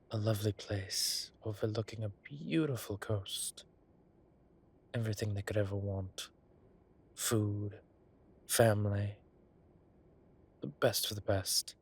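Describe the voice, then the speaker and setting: A man playing an ancient, teasing godlike being with a soft romantic streak, in a theatrical, drawling and indulgent manner for a roleplay audio drama. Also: lowish voice, steady tone, slow pace, pauses often, breathy edge, full character voice. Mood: musing, tender.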